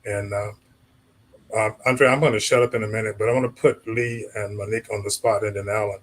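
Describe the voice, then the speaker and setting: Low husky-voiced male moderator. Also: little pitch movement, a little fast, low-quality recording. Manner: conversational, directs questions to panelists. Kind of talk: panel discussion.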